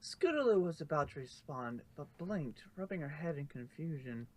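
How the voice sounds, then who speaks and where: A man's voice: a little high, lively intonation, moderate pace, darkish tone, rough recording. A man narrating fan fiction as an amateur story reader.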